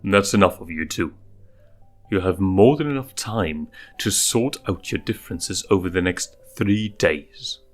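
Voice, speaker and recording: Guy reading as a calm dad lion king, lowish voice, measured and authoritative, storybook w music. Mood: firm.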